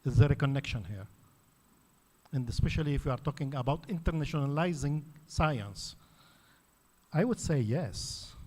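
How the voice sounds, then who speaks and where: A man's voice: mid-range voice, animated, moderate pace, long pauses, warm tone. A man from Lebanon, a native Arabic speaker, giving an academic conference talk in an explanatory way with frequent fillers and restarts. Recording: reverberant hall.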